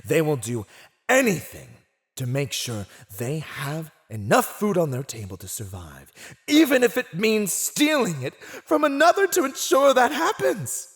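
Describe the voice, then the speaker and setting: A man's voice: a little high, huge pitch swings. A man playing a silver-tongued villain warlord who taunts a captive in a theatrical, mocking, teasing way for an ASMR roleplay. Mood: scornful, probing. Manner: teasing, mocking, theatrical, drawn-out delivery with chuckles.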